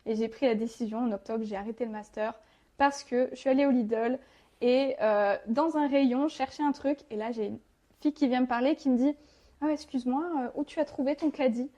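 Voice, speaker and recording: Higher-pitched young Frenchwoman telling her story. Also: brisk, mellow, dull audio. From France. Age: 20s. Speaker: podcast guest. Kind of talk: casual personal storytelling.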